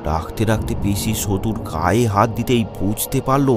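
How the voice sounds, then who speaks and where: A man narrating a suspenseful animated horror story in a dramatic storytelling way. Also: fairly low pitch, normal speed. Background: background music.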